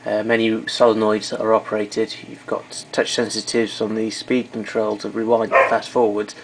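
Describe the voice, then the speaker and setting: Low, monotone man with a gravelly edge. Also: moderate pace, heavy background noise. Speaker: demonstrator of vintage tape recorder. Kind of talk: unscripted technical explanation.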